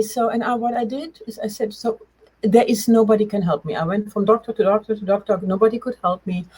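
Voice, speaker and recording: Middle aged german lady, bit husky, telling her own story in an interview, kinda halting but emphatic, repeats key words. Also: mid-range voice, low-quality recording. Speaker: interview guest, change coach. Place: remote video call.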